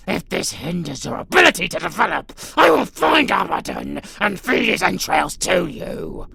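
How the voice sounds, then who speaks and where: A man playing a menacing vampire abomination in a monster audio roleplay, theatrical and taunting, with a gravelly growl. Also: fairly high pitch, a little hiss or hum, full character voice. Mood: enraged. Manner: theatrical, taunting, foul-mouthed, swinging from whispers to shouts. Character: menacing vampire abomination, theatrical, sadistic, grudgingly fond predator. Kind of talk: monster audio roleplay, dramatic villain monologue.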